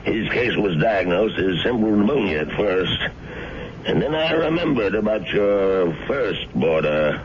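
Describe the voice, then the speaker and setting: An actor playing a kindly middle-aged town doctor who talks in a plain, reassuring way. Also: male voice, fairly low pitch, sing-song, a little slow, a crowd in the background. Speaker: actor in a radio drama. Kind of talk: 1970s radio drama acting. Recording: dull old radio recording.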